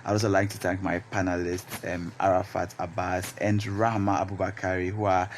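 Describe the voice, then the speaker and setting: A man's voice: deep voice, flat delivery, a little fast, slight background noise, natural voice. A young man with a Ghanaian accent hosting a panel discussion in a radio studio. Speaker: radio program host.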